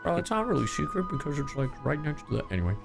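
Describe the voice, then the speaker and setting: A man's voice: fairly high pitch, very animated, racing, creaky. A young man giving casual live reactions as a game streamer, slangy and joking, with rambling asides. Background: game music.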